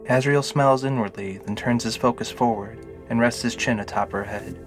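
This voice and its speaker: A man's voice: medium pitch, fairly quick. A man narrating a scripted fantasy audio drama in the role of storyteller. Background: music under the narration.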